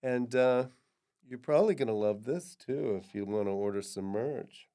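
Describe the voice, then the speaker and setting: A middle-aged man hosts a laid-back comedy interview, tossing out absurd jokes with a straight face. His voice is smooth and clean, sitting at an ordinary middle pitch. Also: moderate pace. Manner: playful, absurd deadpan jokes. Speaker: podcast host.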